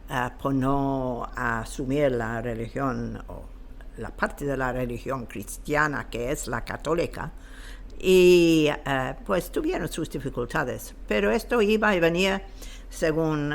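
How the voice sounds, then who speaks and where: Gravelly-voiced man, halting interview talk. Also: medium pitch, fairly bright tone, a little hiss or hum. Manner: hesitant, halting, searching for words. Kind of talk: explanatory interview talk on history.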